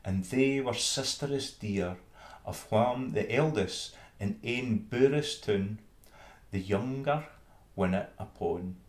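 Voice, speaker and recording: Slow-reading Scottish man in an echoey room. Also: on the low side, darkish tone. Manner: measured, storytelling. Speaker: reader. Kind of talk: dramatic poetry reading of an old fable. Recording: roomy, echoing space.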